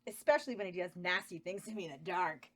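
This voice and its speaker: A woman's voice: fairly low pitch, fast talker, natural voice. A woman doing a giggly, ditzy sweetheart. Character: ditzy lovestruck young woman. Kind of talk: table read of a horror comedy script.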